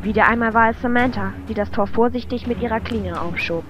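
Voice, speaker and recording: A woman's voice: fairly high pitch, a little fast, lightly acted. A woman reading scripted narration as the storyteller of a fantasy audio drama. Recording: muffled lo-fi amateur recording. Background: loud music.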